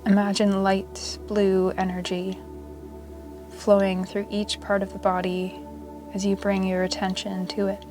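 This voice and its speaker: Even-toned woman guiding meditation over music. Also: mid-range voice. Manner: slow, soothing, measured delivery with long pauses. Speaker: yoga nidra meditation guide. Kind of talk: guided meditation narration.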